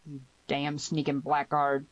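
A woman voicing a loud, defensive, hot-tempered young man in a western audiobook, outraged and emphatic, on a dull recording. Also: low voice, completely flat delivery, measured pace, no echo. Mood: angry. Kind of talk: western audiobook character reading.

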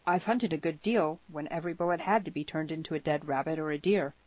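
A woman plays a proud young cowboy from a western storybook, low and calm. He talks simply and with unhurried certainty, in a slightly muffled recording. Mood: calm, assured. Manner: simple, unhurried, self-assured. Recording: dull, roomy sound.

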